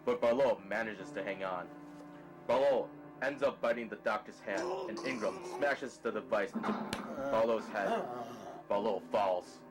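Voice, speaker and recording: A man's voice: fairly low pitch, moderate pace, over music, rough recording. A man narrating a scripted story in the present tense, describing the action as narrator.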